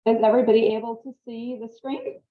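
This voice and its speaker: A woman's voice: on the high side, steady tone, fast, reverberant. A woman from Trinidad teaches marketing in a friendly, chatty way. She speaks over a video call. The sound is thin and phone-like. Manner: friendly, chatty, laughs easily. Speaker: marketing instructor. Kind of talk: online presentation.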